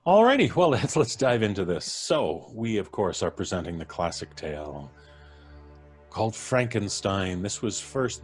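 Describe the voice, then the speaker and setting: Male host, North American, low register. Jovial, casual, playful live-stream banter over faint music.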